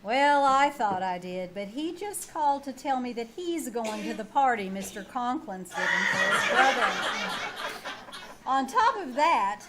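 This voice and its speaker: A woman playing a sardonic, sharp-tongued schoolteacher in an old-time radio comedy, recorded live on stage. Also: a little high, a little hiss or hum. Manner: sardonic, dry wisecracking. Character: wry, sharp-tongued single schoolteacher, heroine narrating her troubles. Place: small-town theater stage, performed live.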